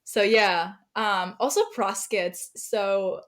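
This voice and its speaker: A woman's voice: medium pitch, steady tone, takes it slowly. German woman chatting on a podcast. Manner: chatty, many fillers and laughs. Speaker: guest writer. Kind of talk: casual podcast chat.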